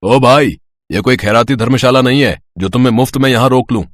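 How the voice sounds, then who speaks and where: A man's voice: mid-range voice, brisk, no echo, clean studio sound, natural voice. A man playing an arrogant, heartless rival restaurant owner in a moral story, taunting and dismissive. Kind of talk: dramatised moral story acting.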